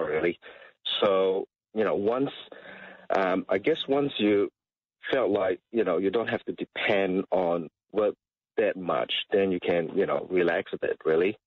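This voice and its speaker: He is a middle-aged man, chatting casually on talk radio. His voice sits very low and has a rough, husky grain. He feels his way through sentences hesitantly, and the audio is somewhat dull. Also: dry recording. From Malaysia. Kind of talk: casual chat.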